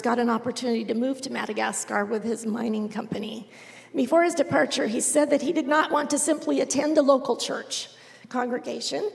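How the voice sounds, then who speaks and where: A woman giving an unhurried missionary report to a congregation, pausing often with "um". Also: high-pitched, gravelly. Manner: unhurried, with frequent "um" pauses. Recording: long reverb of a large hall.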